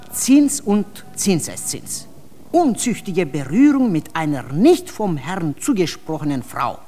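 A woman playing a stern, devout believer in a radio drama, proclaiming solemnly and deliberately in a husky voice. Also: deep voice, exaggerated voice. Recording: long reverberant hall, music underneath.

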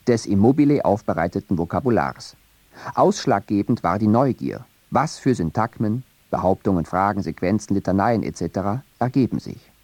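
A man reading a scripted radio feature narration in a measured, academic way. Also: fairly low pitch, darkish tone, no room sound.

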